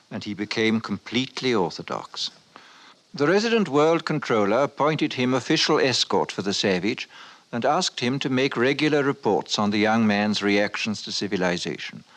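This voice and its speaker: A man narrating a 1950s radio drama in a formal, measured, wry way. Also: medium pitch, fairly bright tone, natural voice. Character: male narrator of a dystopian future story. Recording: old radio broadcast with music underneath.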